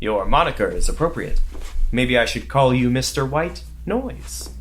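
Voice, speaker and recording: Veteran male agent played by a man, audio drama. Dry, terse, pedantic wit, medium pitch with lively inflection. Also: moderate pace, lightly acted. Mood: dry, needling.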